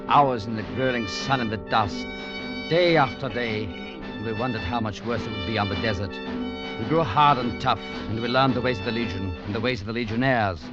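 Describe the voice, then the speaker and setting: An actor playing a weary young legionnaire, gravely and eloquently narrating desert hardship in a 1940s radio drama. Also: male voice, mid-range voice, normal speed, natural voice. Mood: grim resolve. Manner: grave, literate storytelling. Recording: muffled vintage radio recording, music behind.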